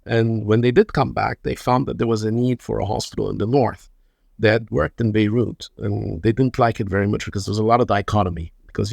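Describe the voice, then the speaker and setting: A man in his fifties from Lebanon, a guest telling his story in an interview, unhurried and often repeating words as he searches for the next phrase. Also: fairly low pitch, gravelly, no room sound, clean studio sound. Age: 50s. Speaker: guest, hospital chairman.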